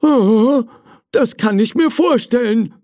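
Storybook servant, older friendly gray-haired man, slow and polite, put on by the narrator, dry close sound. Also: mid-range voice, mellow, no echo, voice pushed up, natural voice. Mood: dutiful. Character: older kind male servant, loyal and gentle.

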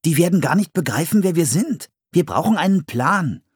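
A man's voice: fairly high pitch, expressive, moderate pace, breathy edge, no echo, clean studio sound, pitched above the performer's own voice. A man voicing a cautious, bookish boy with glasses in an audiobook, earnest and careful. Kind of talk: audiobook character voice.

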